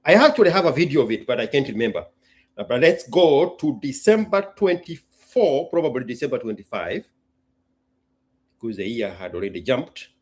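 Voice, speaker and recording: Expressive husky man from Kenya. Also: medium pitch, normal speed. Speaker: host. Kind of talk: casual solo talk to an audience.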